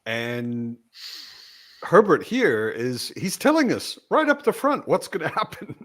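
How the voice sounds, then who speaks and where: A man's voice: on the high side, huge pitch swings, unhurried, a bit bright. A man chatting casually as a panelist in a book discussion, often voicing imagined reactions.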